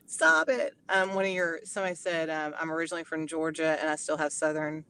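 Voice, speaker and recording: A middle-aged woman with a Tennessee accent, chatting in an animated, storytelling way as a guest. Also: low voice, a little fast, a little hiss or hum. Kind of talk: casual chat.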